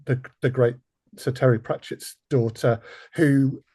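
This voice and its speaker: A man's voice: mid-range voice, fairly even intonation, measured pace, dark tone, husky. A man hosting a casual podcast interview in a polite, hesitant, self-deprecating way. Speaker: podcast host.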